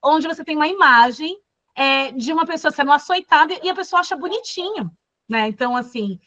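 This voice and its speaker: A woman's voice: high-pitched, lively intonation, a little fast, pushed and bright, dry recording, dull audio. A woman from Brazil leading a course session, speaking thoughtfully with many fillers and restarts. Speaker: course facilitator. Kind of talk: casual classroom talk.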